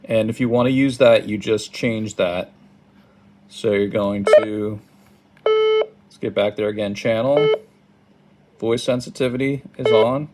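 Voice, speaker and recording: A man giving a casual step-by-step how-to, halting and thinking aloud, recorded indoors at a tabletop. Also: on the low side, very animated. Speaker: product tutorial presenter. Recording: clearly noisy home recording, button clicks.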